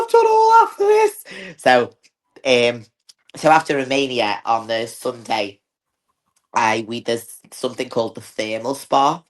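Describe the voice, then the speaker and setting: A woman with a Liverpool accent, chatting as a guest in an interview and filling her talk with "like". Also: extremely low pitch. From north of England. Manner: chatty, many fillers like "like". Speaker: bodybuilder guest. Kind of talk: casual interview chat.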